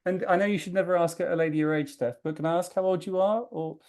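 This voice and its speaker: A man hosting a podcast, chatting in a friendly, rambling way with quick asides. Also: high-pitched, fairly even intonation, a little mellow. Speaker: podcast host. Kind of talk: casual chat. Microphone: video call.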